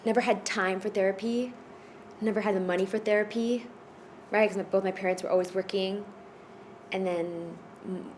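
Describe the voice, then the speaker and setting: Brief: woman from Florida, mid pitch, casual storyteller, hesitant, faint background hiss. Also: steady tone, moderate pace, a little mellow. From United States (Florida). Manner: hesitant, many fillers and restarts. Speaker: podcast guest at a retreat.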